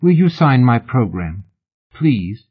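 A man reading an audiobook, voicing a pushy, excitable exiled woman who gushes and pleads in an overly familiar way, on a dull lo-fi recording. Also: mid-range voice, slow pace, slightly rough, pitched above the performer's own voice, heavily acted. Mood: gushing.

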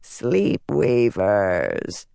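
Low, rasping, menacing dark mistress. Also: very animated, no echo, studio quality, full character voice. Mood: calculating, stern. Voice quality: rasping, growling, hissing. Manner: slow, imperious, curt. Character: menacing dark-cloaked female servant of evil, commanding. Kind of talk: audiobook character voice.

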